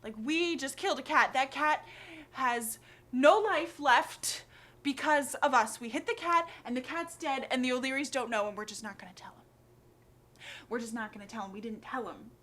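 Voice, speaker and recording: A woman acting a monologue as an anxious, guilt-ridden young woman who confesses in a halting, rambling, neurotic way, full of fillers. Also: mid-range voice, fairly even intonation, natural voice. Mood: anxious, guilty. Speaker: actor. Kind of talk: acted confessional monologue, conversational. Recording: clearly noisy background ambience.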